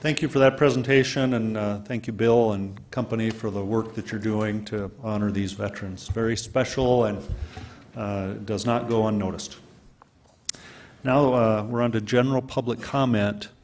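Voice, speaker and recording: A man chairing a city council meeting, reading procedure and calling speakers in a relaxed way with fillers. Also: on the low side, normal speed, slight background noise.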